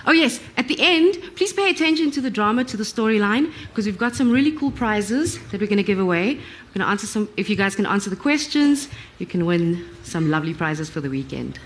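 A woman's voice: medium pitch, expressive, fairly quick, slight background noise. A woman, upbeat and encouraging as she hosts a live audience session. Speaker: presenter, audience warm-up leader.

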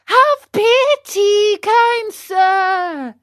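A woman's voice: extremely high pitch, very animated, slow pace, bright, forceful tone, no room sound, studio quality, voice pushed up, heavily acted. A woman voicing a small wooden marionette boy in a storybook, earnest, polite and tender-hearted, with a piercing voice. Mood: pleading. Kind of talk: storybook character voice.

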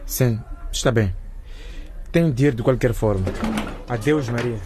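A man's voice: mid-range voice, unhurried, background noise, close to an everyday voice. An actor playing an earnest, apologetic teenage boy in a radio drama.

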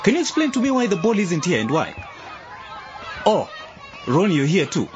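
A man acting a stern, authoritative football coach in a radio drama, forceful and lecturing. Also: high voice, big pitch swings, moderate pace, lo-fi sound. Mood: demanding. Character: stern, authoritative male football coach scolding his team. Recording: noisy outdoor crowd ambience.

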